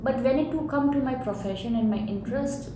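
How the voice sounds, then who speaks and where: Loud, animated woman professor from India. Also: high-pitched. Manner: loud, animated, laughs often, quick bursts. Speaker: college professor. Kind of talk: candid interview answers. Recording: echoey room with background noise.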